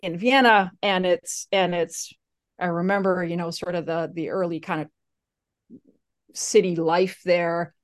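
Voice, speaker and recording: A woman's voice: on the low side, fairly even intonation, measured pace. A woman from Slovakia, Slovak her first language, telling her story casually in an interview with many fillers and restarts. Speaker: guest.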